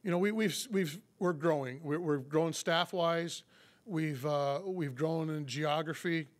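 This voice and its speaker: A man in his fifties, a police chief getting over a cold, giving a public address at a podium mic in a meeting room, halting with many fillers and restarts. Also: on the high side. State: recovering from a cold.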